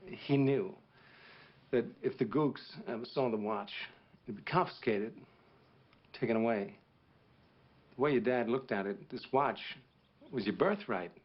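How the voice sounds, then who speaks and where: Casting: a man as a solemn middle-aged war veteran officer, measured delivery, lowish voice, dull lo-fi recording. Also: slightly rough. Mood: intense. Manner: measured, solemn storytelling to a child. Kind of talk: dramatic monologue performance.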